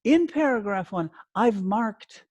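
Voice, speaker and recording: A man giving an instructional lesson as a teacher trainer, speaking deliberately in short phrases and often checking in with brief questions. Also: high voice, expressive, darkish tone, no room sound, dull audio. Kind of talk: instructional talk to learner teachers.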